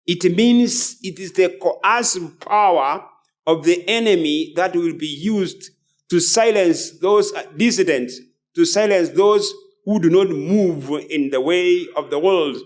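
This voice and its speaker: High-pitched man preaching a solemn sermon. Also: slow. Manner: solemn, declamatory, with repeated phrases. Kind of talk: sermon with read-out quotations.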